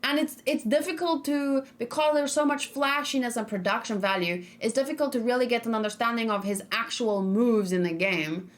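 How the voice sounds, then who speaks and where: A woman's voice: fairly high pitch, brisk, few pauses. A young woman chatting casually on a live stream, thinking aloud and reading out chat messages as she reacts to game trailers.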